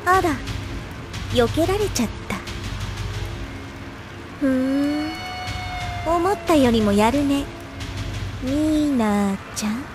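A woman's voice: high voice, slow, pauses often, over music. A woman playing a playful, smugly confident young female assassin in an anime audio drama. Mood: smug teasing.

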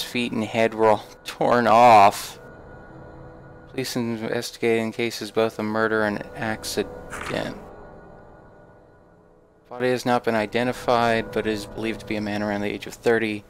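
A man giving casual, wry and slightly uneasy commentary as he plays a horror game. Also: lowish voice. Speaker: let's play gamer.

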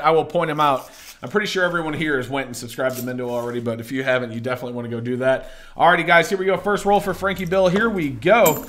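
A young man with a hoarse, scratchy voice, chatting upbeat and casually as a livestream host while greeting viewers. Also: a little high, fairly bright tone. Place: indoors at a desk.

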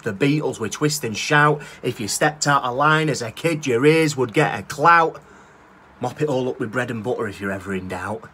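A man's voice: a little high, fairly bright tone. A man in his thirties with a Northern English accent, reciting his own spoken word poem in a rhythmic, cheeky style, filmed outdoors on a phone held at arm's length. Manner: rhythmic rhyming delivery, cheeky and swearing. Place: outdoors by a brick wall.

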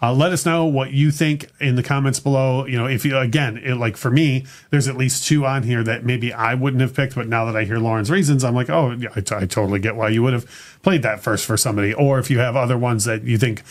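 A man's voice: mid-range voice, fast. A middle-aged man hosting a casual music podcast in a small studio, talking in a loose, rambling way with many fillers and restarts. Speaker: podcast host. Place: small studio room with purple lighting.

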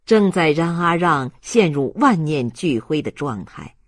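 A man reading a novel aloud as a dramatic, deliberate audiobook narrator. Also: fairly high pitch, expressive, no echo, clean studio sound.

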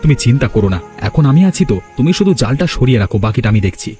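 A man's voice: medium pitch, lively intonation, racing, dark tone, lightly acted. A man voicing a devoted, protective young son in a fairy-tale cartoon, questioning eagerly and offering reassurance. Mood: reassuring. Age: young adult. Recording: music behind the voice.

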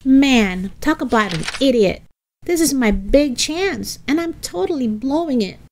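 A woman's voice: fairly high pitch, lively intonation, slow, darkish tone, voice pushed up. A woman voicing a bossy, dramatic young girl, brash and scornful, in a storybook reading. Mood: anguished self-reproach.

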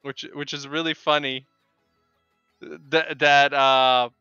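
Casting: Polish-accented man, game streamer, halting chatty delivery, dry but dull lo-fi sound, music behind. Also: mid-range voice, flat delivery, sharp tone, clean tone, dry recording. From Poland. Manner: halting, repeats words. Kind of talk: casual live chat with viewers while gaming. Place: small home room with bookshelves.

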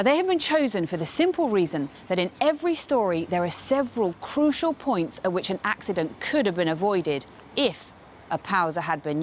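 A woman's voice: mid-range voice, slight background noise, lightly acted. An actress in her thirties playing a wry, knowing presenter who speaks scripted lines with arch irony and pointed rhetorical questions. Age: 30s. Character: wry, knowing woman presenter with dark humour. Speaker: on-screen presenter of a safety training film. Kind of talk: scripted dramatic narration to camera. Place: outdoors on a railway platform and track.

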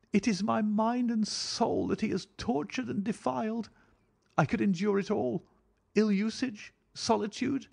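A man's voice: sits high, gravelly, darkish tone, slightly rough, pitched above the performer's own voice, heavily acted. A man voicing an anguished, bitter Victorian wife in an audiobook, with impassioned, formal phrasing. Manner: impassioned, exclamatory, formal old-fashioned phrasing. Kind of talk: dramatic audiobook character acting.

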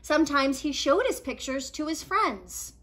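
A woman in her thirties reads a children's story in a high, bright voice. Her pitch moves widely and she leans on key words with deliberate drama. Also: big pitch swings. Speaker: read-aloud storyteller. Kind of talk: expressive children's picture book reading. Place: small living room at home, indoors.

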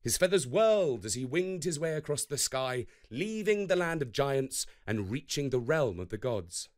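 A man's voice: medium pitch, very animated, normal speed. A man narrating a scripted children's story as a storyteller.

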